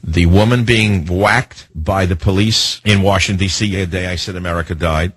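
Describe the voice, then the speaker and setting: Angry radio host guy, lowish husky voice, dry close mic, keeps asking the audience questions. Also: a bit bright, no room sound. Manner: indignant, emphatic, rhetorical questions; slows down to hammer lists and key phrases. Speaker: radio talk show host. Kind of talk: talk radio monologue.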